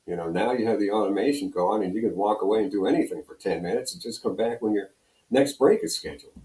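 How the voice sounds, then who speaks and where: A man's voice: fairly low pitch, quick pace, mellow. An older American man reminiscing in a casual, rambling way, telling anecdotes as an interview guest. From United States, Pennsylvania. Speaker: radio program director and on-air personality, interview guest. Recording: roomy, echoing room sound.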